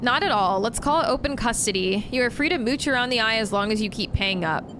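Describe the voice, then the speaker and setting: A woman reading out the lines of a smug, armed man who holds someone captive, calmly making threats. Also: fairly high pitch, brisk, background noise, close to an everyday voice. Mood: menacing. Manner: calm threats, read aloud from game text. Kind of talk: reading game dialogue aloud.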